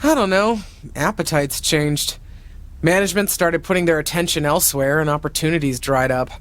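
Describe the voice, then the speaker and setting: A woman's voice: low-pitched, normal speed, natural voice. An actress playing a middle-aged female wrestling veteran and mentor, proud, teasing and emphatic, in a video game cutscene. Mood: wistful.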